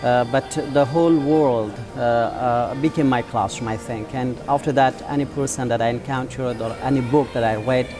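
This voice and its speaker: A man in his forties with an Afghan accent, a teacher and school founder, answering interview questions in an earnest, halting way with many drawn-out fillers. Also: mid-range voice, fairly even intonation, music in the background. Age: 40s.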